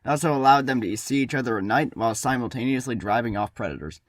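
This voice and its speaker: A man's voice: medium pitch, quick pace, dry recording, clean studio sound. A man hosting a humorous history series, narrating from a script with wry, tongue-in-cheek asides. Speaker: host of a comedic history series.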